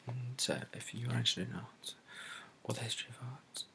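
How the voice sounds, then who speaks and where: British guy doing soft asmr bookshop roleplay, really gravelly n half whispered, kinda rambly. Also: mid-range voice, fairly even intonation, a little fast. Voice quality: breathy, soft, often dropping to a whisper. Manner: hesitant, rambling, repeats phrases. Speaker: ASMR creator playing a bookshop assistant. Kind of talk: soft-spoken ASMR roleplay, improvised book descriptions.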